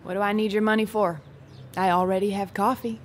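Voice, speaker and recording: A woman's voice: medium pitch, little pitch movement, brisk, close to an everyday voice. An actress playing a lonely, guarded young small-town woman in an audio play, with terse, sardonic retorts. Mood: wry, guarded. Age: young adult. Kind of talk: audio play acting before a live audience.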